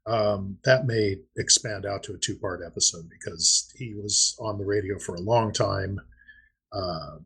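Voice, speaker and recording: A man's voice: on the low side, a little slow, creaky, a bit bright, slightly rough. A man co-hosting a podcast in casual chat, explaining things and thinking aloud. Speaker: podcast co-host.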